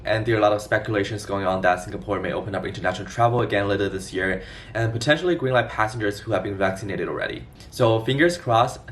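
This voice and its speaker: Young male vlogger, twenties, fast and organized explainer read, recorded at a distance from the mic. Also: on the low side, slight background noise. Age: 20s. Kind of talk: scripted explainer vlog to camera.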